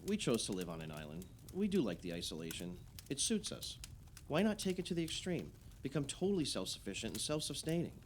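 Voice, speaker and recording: A man's voice: mid-range voice, very animated, brisk, a little hiss or hum, natural voice. An actor playing an opinionated, idealistic male islander holding forth emphatically in conversational radio play dialogue. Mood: opinionated, insistent.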